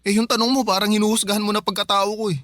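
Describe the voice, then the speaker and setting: A man's voice: sits high, flat delivery, very fast, fairly bright tone, no room sound, studio quality. An actor playing a broke young man who pleads and defends himself to his older sister. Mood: defensive. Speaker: younger brother.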